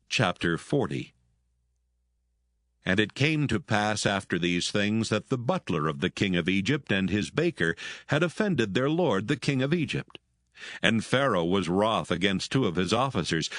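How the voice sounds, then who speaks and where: Expressive low-voiced male scripture narrator. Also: no echo, clean studio sound.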